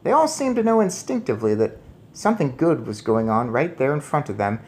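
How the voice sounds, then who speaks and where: A man's voice: mid-range voice, very animated, fairly quick, a little mellow, slight background noise. A man narrating a children's story expressively as he reads aloud. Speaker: storybook reader.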